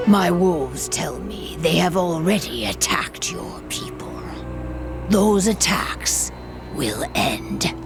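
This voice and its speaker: A proud werewolf chieftain in a game, low and very slow, with heavy gravel in a breathy voice. She is commanding and menacing, over background music. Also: heavily acted. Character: menacing, proud female werewolf pack leader who distrusts humans. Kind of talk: video game cutscene acting.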